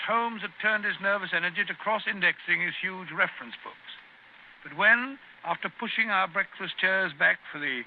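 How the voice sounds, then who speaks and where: An actor playing a genial middle-aged English doctor in an old-time radio drama, with a chatty, slightly fussy, hesitant delivery. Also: male voice, sits high, gravelly. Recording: tinny, noisy old radio recording.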